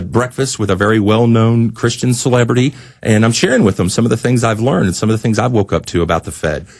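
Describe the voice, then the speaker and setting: A man's voice: medium pitch, dry recording. A man speaking as a radio studio guest in an impassioned, preachy rant, with emphatic lists and repeated phrases. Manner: preachy, emphatic, rhetorical listing and repetition.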